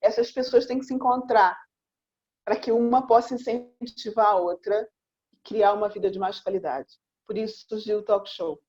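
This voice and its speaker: A woman's voice: medium pitch, fairly even intonation, moderate pace, darkish tone, slightly rough, highs cut off. A woman hosting an online talk show, introducing her guest with flowing, repeated phrases.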